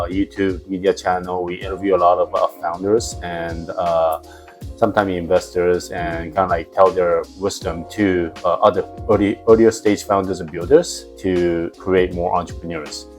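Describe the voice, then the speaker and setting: A man's voice: sits low, fairly even intonation, normal speed, darkish tone, soft background music. A man who grew up in Japan with Japanese as his first language, a founder talking casually in an interview with many fillers and restarts. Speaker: founder and CEO, interview guest. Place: house in Palo Alto.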